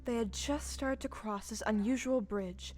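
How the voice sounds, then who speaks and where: A woman's voice: fairly high pitch, moderate pace. A woman giving scripted storybook narration as the storyteller of an audio play. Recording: music under the voice.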